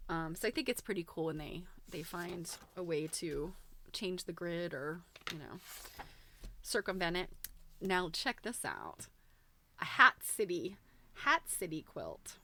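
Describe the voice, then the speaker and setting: A woman host chatting casually on a livestream in an informal, halting way, thinking aloud as she goes. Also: fairly low pitch, pushed and bright. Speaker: host of a live quilting book show. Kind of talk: casual livestream chat, commenting while browsing a book.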